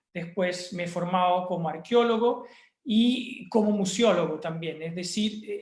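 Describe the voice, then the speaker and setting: A man with a Chilean Spanish accent giving a university course presentation over a video call, thoughtful and pausing with fillers and restarts. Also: high voice, reverberant. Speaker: university lecturer.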